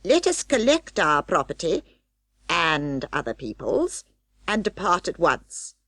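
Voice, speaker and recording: A woman's voice: lowish voice, sing-song, unhurried, fairly bright tone, dry recording, studio quality, exaggerated voice. A woman voicing a pompous, fussy old male rat in a storybook, ponderous, formal and disapproving. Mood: pompous, urgent. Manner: pompous, long-winded formal speech. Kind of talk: storybook character reading.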